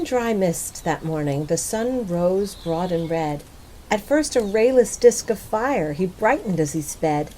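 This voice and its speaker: Slow, mellow woman reciting poetry. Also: fairly low pitch, slight background noise, poor audio. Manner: measured, lyrical delivery. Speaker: poetry reader.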